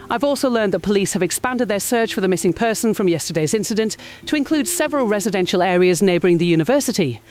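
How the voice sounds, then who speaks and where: A woman's voice: mid-range voice, darkish tone, lightly acted. A woman playing an earnest news correspondent in a radio drama, giving a serious, articulate field report.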